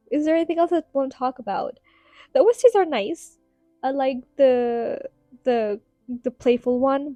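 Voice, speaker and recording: Casting: higher-voiced woman, rambling podcast host, giggly, voice tiring, dry close mic. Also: mellow, dry recording. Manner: chatty, giggly, rambling with fillers. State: tired, strained voice. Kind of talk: casual solo podcast ramble.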